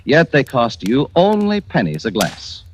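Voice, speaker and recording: Male commercial announcer, golden-age radio: emphatic sales read, mid pitch, animated, slightly noisy old recording. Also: measured pace. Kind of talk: 1940s radio advertisement read.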